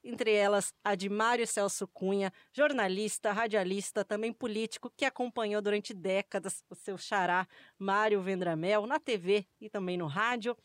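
A woman hosting a podcast, narrating from a script and warmly welcoming her guests like a clear presenter. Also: medium pitch, moderate pace, dry recording, studio quality. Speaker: podcast host.

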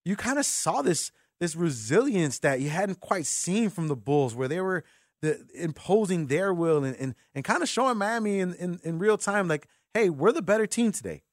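A man with a slightly high voice hosts a sports talk radio show. He speaks in a conversational interview style, and the sound is dry and close, with very little room in it. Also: lively intonation, moderate pace, no echo.

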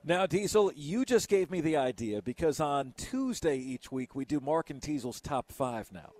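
A man hosting a sports radio show in casual banter, excitable and prone to loud laughs and silly voices. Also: on the high side, normal speed.

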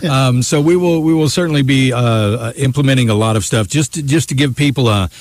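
A man's voice: mid-range voice, fairly quick. A man who works as a talk radio host, chatting casually as a studio guest.

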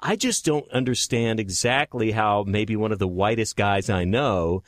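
A man's voice: on the low side, normal speed, no room sound, clean studio sound. A middle-aged man interviewing casually as a podcast host, with a joking, teasing manner.

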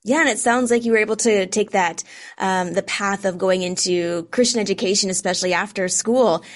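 A woman's voice: medium pitch, brisk. A woman co-hosting an interview in a conversational style. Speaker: interview co-host.

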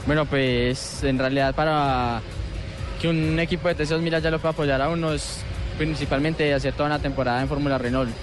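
A man's voice: on the high side, fairly even intonation. A young man from Colombia with a paisa accent, a racing driver answering interview questions modestly and politely. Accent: Colombian Spanish, paisa. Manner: modest, polite, halting with fillers. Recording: music playing behind.

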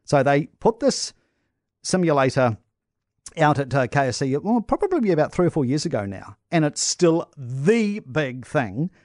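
A New man telling a travel story on breakfast radio with animated enthusiasm. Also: on the high side, moderate pace, no room sound, studio quality. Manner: animated storytelling, talks up attractions. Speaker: travel correspondent.

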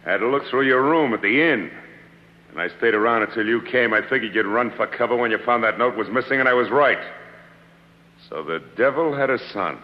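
An actor playing a rough, menacing Old West henchman with an American accent in a 1950s radio drama. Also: mid-range voice, brisk, natural voice. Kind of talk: 1950s radio western acting. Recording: dull 1950s radio recording.

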